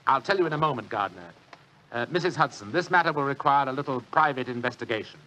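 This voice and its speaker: An actor playing a lazy, pompous, shrewd British government official with a grumbling, dryly superior manner, in 1940s radio drama style. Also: male voice, mid-range voice, expressive, fast, pushed and bright, low-quality recording. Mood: shrewd, intent.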